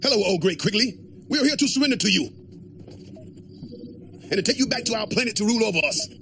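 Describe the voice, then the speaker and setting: A man voicing a cowardly, eager little alien in a cartoon, with a creaky voice. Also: high-pitched, expressive, fast, slight background noise, heavily acted. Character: cowardly, cheerful small alien eager to surrender. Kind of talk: cartoon voice acting. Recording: loud music behind the voice.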